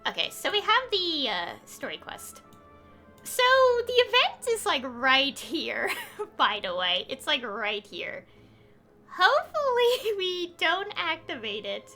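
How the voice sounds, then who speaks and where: A woman's voice: high-pitched, sharp tone. A woman chatting casually and informally on a game livestream, rambling a little as she goes. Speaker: game streamer.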